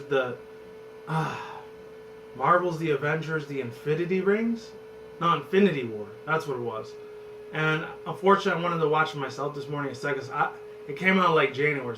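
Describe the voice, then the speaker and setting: A hoarse man in his twenties, somewhat high-pitched for a guy, rambles on casually and swears freely. He sits back from the mic in an echoey room. A steady hum and background noise run under his voice. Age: 20s. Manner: loose and profane, rambles and restarts. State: hoarse from screaming. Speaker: channel host. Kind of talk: rambling casual movie chat. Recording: roomy, distant, noisy with hum.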